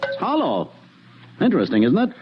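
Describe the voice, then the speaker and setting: A man playing a wry, observant scientist on a space expedition in a 1950s radio drama, with a husky voice. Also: fairly high pitch, wildly swooping pitch, fairly quick, over music. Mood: dry, observant. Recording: muffled 1950s radio recording.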